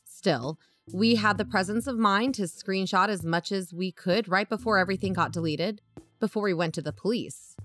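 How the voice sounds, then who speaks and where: A woman's voice: medium pitch, moderate pace, a bit bright, soft background music, natural voice. An actress playing a blunt, matter-of-fact young woman co-hosting an investigative podcast in an audio drama. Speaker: podcast co-host. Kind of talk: fiction podcast narration and dialogue.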